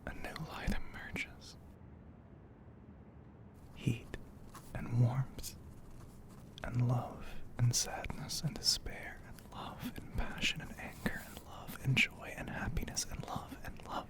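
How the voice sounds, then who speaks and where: Male ASMR narrator: breathy whisper throughout, unhurried and level, poetic phrasing with long pauses. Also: medium pitch, steady tone. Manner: slow, hushed, poetic delivery with frequent pauses.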